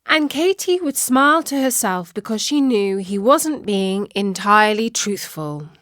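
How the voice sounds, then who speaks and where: A woman narrating a scripted children's story with deliberate, dramatic phrasing. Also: medium pitch, lively intonation, a bit bright, no echo, studio quality.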